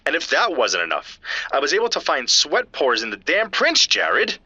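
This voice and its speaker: A man's voice: on the high side, huge pitch swings, normal speed, sharp tone, breathy edge, no room sound, dull audio, full character voice. A man playing an earnest, geeky scientist in an audio drama, explaining evidence to a friend in precise technical detail. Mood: enthusiastic, admiring. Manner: precise, technical, lecturing yet familiar. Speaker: scientist analysing footprint casts.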